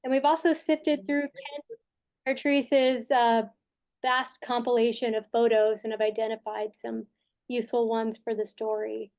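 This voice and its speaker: A woman with a fairly high voice walks through project progress in a meeting, casual and loose, with plenty of fillers as she lists what her team has done. She talks over a video call. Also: level tone, measured pace, darkish tone. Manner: casual, many fillers, listing items. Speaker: project consultant presenting progress. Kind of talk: meeting presentation.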